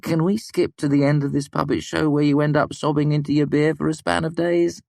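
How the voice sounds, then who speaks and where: A man's voice: fairly high pitch, little pitch movement, a little fast, creaky, a little mellow, no echo, clean studio sound, voice pushed up. Man voicing a wry, guarded young student.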